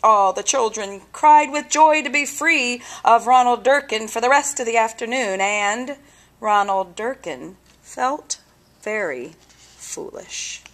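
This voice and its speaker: A woman reading a children's story aloud with deliberate, expressive phrasing and dramatic pauses. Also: fairly high pitch. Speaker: storybook reader.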